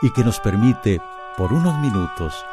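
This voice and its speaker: A man's voice: on the low side, very animated. Lyrical male narrator over prominent music. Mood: wistful, lyrical. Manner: measured, lyrical. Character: lyrical storyteller of a radio soap. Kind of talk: poetic scripted narration. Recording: instrumental music under narration.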